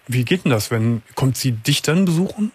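A man hosting a late-night radio call-in show who asks calm, probing questions. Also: medium pitch, moderate pace, slightly rough. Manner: calm, probing questions with frequent soft "mhm"s. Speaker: radio talk show host. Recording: dry studio mic.

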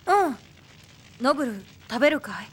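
High-pitched earnest anime boy. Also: sing-song, normal speed, husky, exaggerated voice. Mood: earnest, questioning. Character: earnest, friendly boy hero on a journey. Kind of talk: Japanese anime voice acting.

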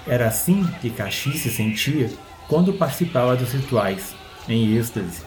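A man's voice: mid-range voice, animated, normal speed, over music. A man with a Brazilian accent reading a scripted story as its narrator.

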